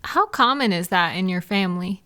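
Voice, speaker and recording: A woman's voice: lowish voice, lively intonation, normal speed, clean tone. A woman playing a caring, chatty girlfriend in an intimate one-sided roleplay audio, talking affectionately and reassuringly to a partner. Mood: musing, curious. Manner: soft-hearted, reassuring, playful, affectionate. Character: caring, gentle, chatty girlfriend comforting a night-owl partner.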